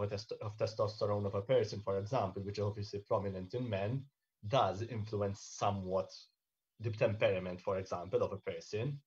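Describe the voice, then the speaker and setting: A man in his thirties on a video call in a panel discussion, explaining in a measured way and often checking in with "okay?" and "right?". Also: on the low side, a little mellow, dull audio. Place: home room indoors. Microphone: webcam on a video call.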